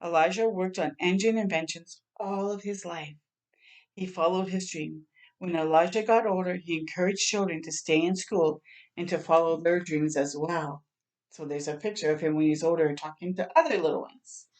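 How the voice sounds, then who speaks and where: A woman with a slightly low voice reads a children's picture book aloud. She is an expressive storyteller who acts out the sounds and talks directly to her young listeners. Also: normal speed, poor audio. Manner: expressive, acting out sound words and exclamations.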